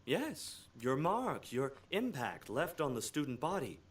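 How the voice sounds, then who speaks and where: Patient, moralizing counselor for a visual novel: extremely low, earnest voice. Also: female voice, sing-song, unhurried, breathy edge, heavily acted. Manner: earnest, lecturing. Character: patient, preachy adult high school counselor. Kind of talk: visual novel game voice acting.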